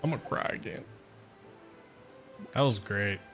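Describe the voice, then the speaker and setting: A man livestreaming a game, reacting casually and thinking aloud in a rambling, halting way. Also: on the low side, a bit bright, soft background music. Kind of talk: casual live reaction and chat.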